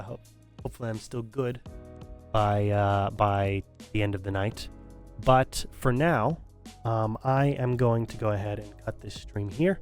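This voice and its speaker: Man, streamer, low voice, strained throat, rambling with fillers, faint background music. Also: a little mellow. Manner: rambling, many restarts and fillers. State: voice strained, recovering. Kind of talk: casual stream sign-off talk.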